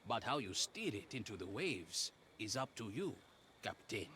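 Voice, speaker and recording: An actor playing a gruff, scornful, boastful male pirate shipwright in a video game, with theatrical taunting delivery. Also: on the low side, very animated, moderate pace, pushed and bright, a little hiss or hum. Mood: mocking, proud.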